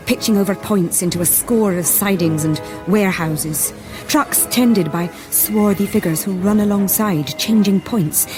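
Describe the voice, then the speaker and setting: A woman playing a knowing storyteller, narrating a radio drama in a literary, deliberate and dramatic manner. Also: fairly low pitch, natural voice. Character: knowing, atmospheric female storyteller. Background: music.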